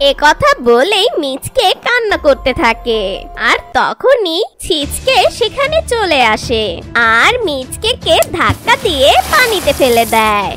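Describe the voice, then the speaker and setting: A woman's voice: sits high, big pitch swings, measured pace, a bit bright, faint music behind. A woman reading scripted narration for a children's cartoon story.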